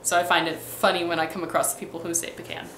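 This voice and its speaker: A woman's voice: on the low side, flat delivery, fast, creaky, fairly bright tone, echoey room, a little hiss or hum, poor audio. Chatty young woman with a light Midwestern accent. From Texas and Missouri, US. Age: young adult. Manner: chatty, many ums and restarts. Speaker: vlogger. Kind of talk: casual accent tag vlog. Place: bedroom, indoors.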